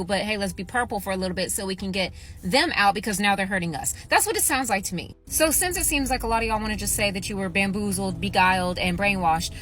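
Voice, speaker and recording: A woman delivering a deliberate, lecturing monologue for a social media video. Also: mid-range voice, fairly bright tone, a little hiss or hum.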